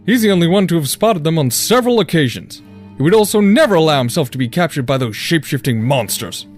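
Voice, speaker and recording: Man as loyal giant guard, raised pitch. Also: animated, quick pace, soft background music, lightly acted. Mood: indignant. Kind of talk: fan audio drama acting.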